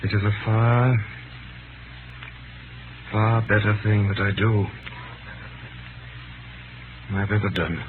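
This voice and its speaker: Condemned hero for vintage radio drama, male actor: low, very unhurried, solemn and tender, nobly resigned. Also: steady tone, natural voice. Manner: solemn, measured, theatrical delivery. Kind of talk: 1930s radio drama acting. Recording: scratchy, noisy, tinny 1930s radio recording.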